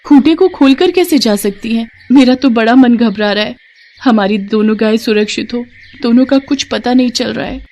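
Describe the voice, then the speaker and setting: A woman's voice: fairly high pitch, moderate pace, warm tone, pitched above the performer's own voice, close to an everyday voice. A woman voicing a devout, caring village wife in a story, with an earnest, pleading manner. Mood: worried.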